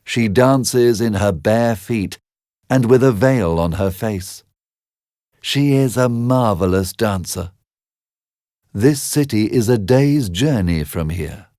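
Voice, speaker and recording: A man voicing a fisherman's soul in a bedtime story, a calm, sly tempter with a coaxing, soothing delivery. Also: on the low side, slow, no echo, studio quality.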